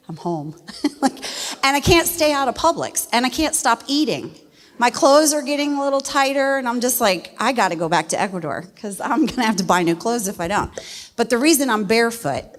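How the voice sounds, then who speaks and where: A middle-aged woman from West Virginia, a missionary speaking to a church congregation in a folksy, animated way. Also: medium pitch. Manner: folksy and animated, laughs easily. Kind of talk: church testimony talk. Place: church sanctuary. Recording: long reverb of a church hall.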